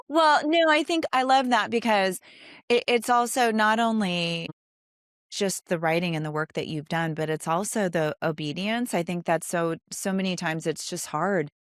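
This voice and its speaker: A woman's voice: medium pitch, moderate pace, no echo, studio quality. A woman hosting a conversational interview, affirming and earnest, speaking in long, winding sentences. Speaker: interview host. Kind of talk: conversational faith-based interview.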